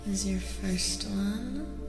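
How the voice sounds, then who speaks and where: A woman streamer giving a soft-spoken ASMR tarot reading, often whispering, unhurried and soothingly repeating her phrases. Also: medium pitch. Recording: echoey long reverb, music behind. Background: music.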